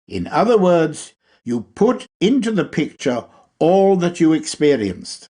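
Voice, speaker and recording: A man with a husky voice reading a lecture aloud in a deliberate, instructive manner with emphatic phrasing. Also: fairly high pitch, big pitch swings, dark tone. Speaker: reader of a lecture. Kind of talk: read-aloud esoteric lecture.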